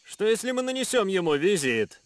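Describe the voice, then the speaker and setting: Steady adventure leader for animation: lightly raised pitch, measured, decisive. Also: male voice. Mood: measured, resolute. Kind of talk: animated adventure series dubbing.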